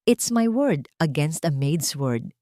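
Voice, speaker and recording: A woman's voice: sits low, big pitch swings, slow, mellow, no echo, clean studio sound, heavily acted. Woman playing a venomous scheming rich wife. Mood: defiant. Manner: taunting, threatening, defiant. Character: venomous, greedy, scheming wealthy wife and mother. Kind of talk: Tagalog audio drama acting.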